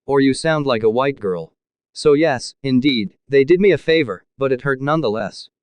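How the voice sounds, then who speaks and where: Smooth-voiced man reading posts aloud. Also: medium pitch, expressive, moderate pace, dry recording.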